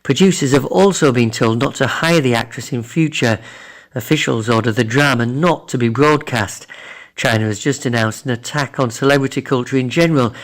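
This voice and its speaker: A male foreign correspondent at a middle pitch reads his report from abroad. His delivery is steady and formal, typical of scripted broadcast news.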